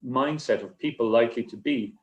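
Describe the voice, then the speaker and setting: A man answering as a writer on a literary panel, speaking haltingly with many repeated words and restarts. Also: mid-range voice, lo-fi sound. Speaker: short story writer on a festival panel. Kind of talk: rambling panel discussion answer. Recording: echoey, roomy sound.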